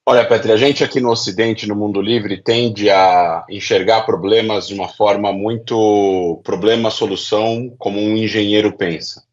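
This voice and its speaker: A man's voice: lowish voice, steady tone, moderate pace, few pauses, highs cut off. A Brazilian man speaking as an expert guest in an interview, explaining his analysis emphatically and repeating key phrases for stress. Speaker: guest specialist on Middle East affairs.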